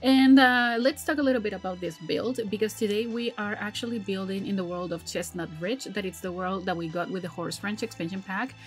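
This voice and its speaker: A woman's voice: medium pitch, brisk, few pauses, soft background music. A woman in her thirties, recovering from a cold, giving casual, rambling voice-over commentary that she often corrects midway, with frequent laughs. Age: 30s. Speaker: game builder channel host.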